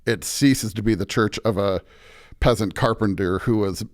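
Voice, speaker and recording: A man's voice: on the low side, moderate pace. A man speaking as a pastor and podcast guest, conversational but preaching, emphatic and often checking in with "right?".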